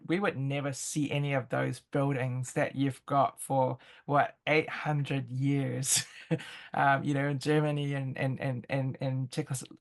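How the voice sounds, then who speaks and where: A woman with a New Zealand accent speaking as an interview guest, conversational and reflective, feeling her way through halting phrases. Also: rumbling low.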